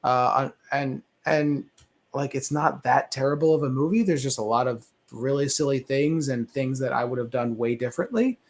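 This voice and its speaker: A man's voice: medium pitch, steady tone, normal speed. A man on a movie discussion panel, giving opinions casually and conversationally, often rephrasing himself as he goes.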